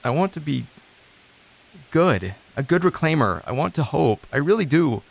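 A man's voice: on the high side, big pitch swings, normal speed, gravelly, exaggerated voice. An actor playing a despairing but earnest man in therapy, speaking hesitantly and vulnerably in a scripted audio drama. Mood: pained, earnest. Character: despairing, self-doubting, earnest male therapy client. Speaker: actor in a fiction podcast.

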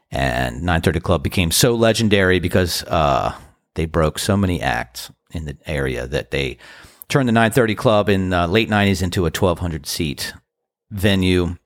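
Low-voiced man with tired post-show voice. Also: no echo, clean studio sound. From Washington DC area. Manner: earnest, rambling, grateful storytelling. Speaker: podcast host and band musician. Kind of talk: casual reflective monologue.

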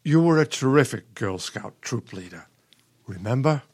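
Dry, expressive elderly husband played by an actor. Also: male voice, medium pitch, normal speed. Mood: gentle, soothing. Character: elderly retired professor husband, patient, resigned, dryly weary. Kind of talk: radio play acting.

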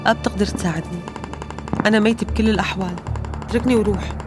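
An actress playing a despairing nurse from the Syrian coast, speaking with a Syrian coastal accent in a resigned, pleading way. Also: female voice, steady tone, racing, lightly acted. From Latakia countryside, Syria. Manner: low, resigned, pleading to be left alone. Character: weary woman nurse, despairing, bitter at being distrusted. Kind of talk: radio drama acting. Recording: music bed behind the voice. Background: music.

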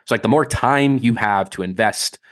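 A man's voice: on the low side, normal speed. A man co-hosting a podcast, explaining in a loose, casual way full of fillers. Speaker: podcast co-host. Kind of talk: casual chat.

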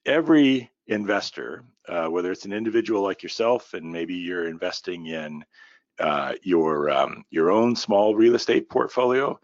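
A middle-aged man with a very low, slightly raspy and tired voice talks through a solo business podcast. He rambles folksily, with plenty of ums and uhs. The sound is dry and close, a little dull and muffled. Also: no echo. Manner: folksy, with many fillers and baseball analogies. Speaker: podcast host and company founder.

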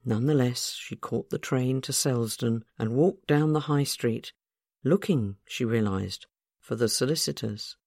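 A woman reading literary fiction aloud as a scripted narration, in a measured, careful storytelling manner. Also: very deep voice, a little mellow, no echo, studio quality. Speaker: author reading own short story.

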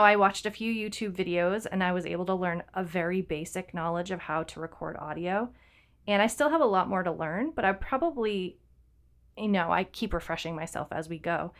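Female podcast co-host, slightly low pitch, relaxed chatty delivery with filler words. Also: clean tone. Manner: chatty, many ums and restarts. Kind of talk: casual chat.

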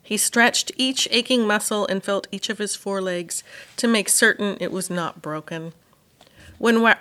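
Female narrator, medium pitch, schoolteacher reading children's fiction in storytelling style. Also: pushed and bright. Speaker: elementary school teacher reading aloud. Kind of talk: audiobook storytelling of a children's novel.

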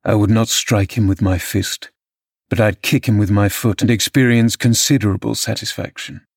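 A man's voice: on the low side, steady tone, normal speed, vocal fry, slightly rough, dry recording, studio quality. A man voicing a contemptuous, vengeful, violent man from a classic novel in a sneering, menacing manner. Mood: sneering contempt.

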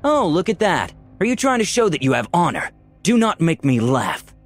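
A man's voice: medium pitch, sing-song, fairly quick, husky, soft background music, voice pushed up. A man voicing an indignant, self-righteous female paladin in a fantasy story, haughty and emphatic. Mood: mocking. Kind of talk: dramatised fantasy story reading.

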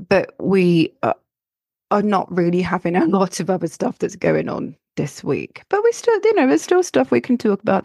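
A woman astrologer hosting her own podcast, her voice croaky from illness, chatting and rambling with frequent restarts and fillers. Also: on the low side, mellow, no echo, clean studio sound. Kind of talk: solo podcast talk.

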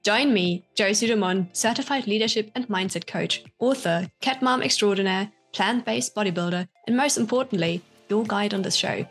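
A woman's voice: mid-range voice, moderate pace, hardly any pauses, fairly bright tone, husky, faint music behind. A woman in her thirties from Germany with a slight German accent touched by British English, hosting a podcast and telling her story in an upbeat, chatty way. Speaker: podcast host and coach. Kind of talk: casual solo podcast storytelling.

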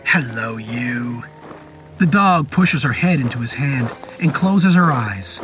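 Higher-voiced man narrating horror. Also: lively intonation, a bit bright. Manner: measured, descriptive storytelling. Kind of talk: scripted horror story narration. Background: music under the voice.

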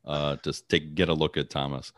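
A man's voice: a bass voice, little pitch movement, fairly quick, a bit bright. A man hosting a podcast interview in a casual, friendly way, with many fillers and repeated words. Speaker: podcast host.

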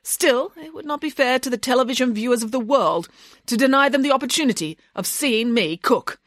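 Woman voicing a bold, emphatic pig nanny, animated pitch. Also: brisk, dry recording, studio quality. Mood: grandly resolved. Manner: emphatic, haughty, dismissive. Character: bold, indignant, dramatic female pig nanny. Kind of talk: children's storybook character voice.

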